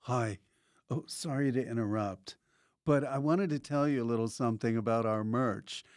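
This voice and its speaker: A man's voice: mid-range voice, normal speed, darkish tone. A man presenting a casual promotional aside about merchandise.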